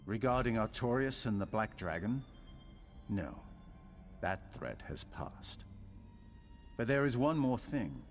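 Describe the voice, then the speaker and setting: Slow, low-voiced solemn assassin leader. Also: male voice, big pitch swings, faint music behind, heavily acted. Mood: grave approval. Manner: grave, deliberate, ritualistic delivery. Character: solemn male leader of an assassin brotherhood, ceremonial and commanding. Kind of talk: fantasy video game voice acting.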